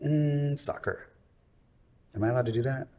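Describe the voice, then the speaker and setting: A man in his forties, a DJ guest, chatting casually in an easygoing, relaxed way. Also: medium pitch, normal speed, crowd noise. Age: 40s. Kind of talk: casual chat.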